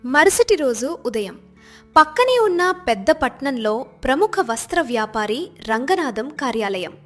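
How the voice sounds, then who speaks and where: Female storyteller, fairly high pitch, scripted narration, soft music underneath. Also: sing-song, unhurried.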